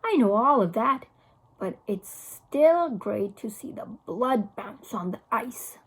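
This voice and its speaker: Woman doing a cheeky, husky, gleefully gruesome boy. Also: a little high, animated, slow, heavily acted. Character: gleefully gruesome boy, hockey-loving family friend.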